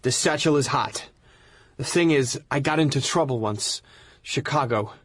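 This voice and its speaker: A man's voice: medium pitch, normal speed, fairly bright tone, husky, no room sound, studio quality. A man with an American accent playing a wary, slangy ex-crook in a radio drama, with a streetwise manner. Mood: uneasy.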